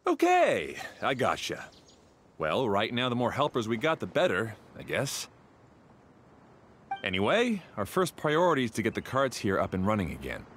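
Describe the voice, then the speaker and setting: A man's voice: mid-range voice, huge pitch swings, moderate pace, slight background noise. A man voicing a laid-back, slangy caretaker in a video game, with a casual, offhand way of talking.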